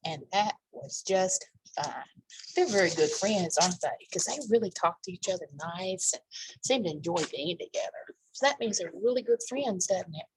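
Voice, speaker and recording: A woman's voice: fairly low pitch, lively intonation, normal speed, slight background noise, rough recording. A woman reading aloud to young children as a storytime host, with chatty asides and questions for her listeners.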